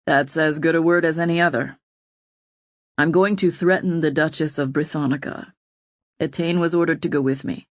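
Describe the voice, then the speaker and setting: A woman reading an audiobook, voicing a troubled, gentle nobleman who speaks softly and ruefully. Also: low-pitched, fairly even intonation, no room sound, clean studio sound, natural voice. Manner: soft, measured, rueful.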